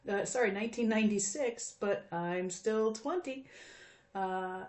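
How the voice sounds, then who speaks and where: A woman's voice: mid-range voice, unhurried, darkish tone. A woman in her fifties teaching math in a recorded video lesson, chatty and friendly, with frequent fillers. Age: 50s.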